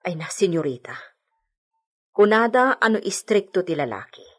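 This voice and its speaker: An actress playing a gossipy, motherly household servant in a radio drama, fussing in a husky voice. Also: fairly low pitch, sing-song, moderate pace. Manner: nagging, confiding, fussing.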